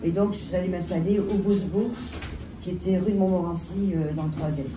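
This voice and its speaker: Woman, French accent, head cold, halting restarts, lowish pitch, roomy echo, loud background noise. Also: steady tone. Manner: halting, many restarts and fillers. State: has a cold. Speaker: bar owner, panelist. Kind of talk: panel talk, recounting her history. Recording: echoey room, distant mic, loud background noise.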